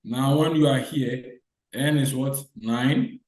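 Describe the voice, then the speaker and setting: A man's voice: medium pitch, fairly even intonation, slow, reverberant, over music, rough recording. A man teaching an online lecture who quizzes students with repeated short questions and restates his points. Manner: repetitive call-and-response questioning.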